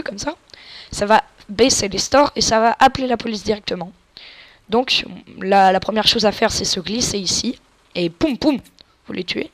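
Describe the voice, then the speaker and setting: A woman's voice: mid-range voice, no room sound. A woman with a cold giving casual, crude, joking gameplay commentary and explaining tactics to viewers as a let's play gamer. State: has a cold.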